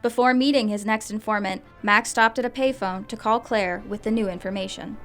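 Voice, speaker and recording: A woman's voice: medium pitch, steady tone, brisk, a bit bright, soft background music. A woman reading scripted narration as the storyteller of a radio drama.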